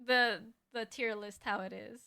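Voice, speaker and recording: A woman's voice: medium pitch, fairly even intonation, measured pace, creaky, sharp tone, clear, resonant tone. A woman hosting a casual livestream chat, friendly and upbeat, with a voice that is slightly tired. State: voice slightly raspy from tiring. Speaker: streamer host, VTuber.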